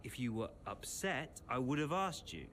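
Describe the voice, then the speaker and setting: A man's voice: sits low, moderate pace, fairly bright tone, a little hiss or hum. An actor playing a stressed, flippant young British man burdened with the family business, speaking in dry, hesitant, casual game dialogue. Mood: defensive. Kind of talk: naturalistic dramatic dialogue.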